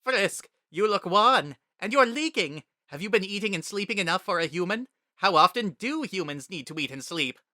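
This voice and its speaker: A man voicing a loud, boastful skeleton man who talks in a booming, dramatic way. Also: animated, normal speed, hardly any pauses, no echo, studio quality, full character voice. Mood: excited.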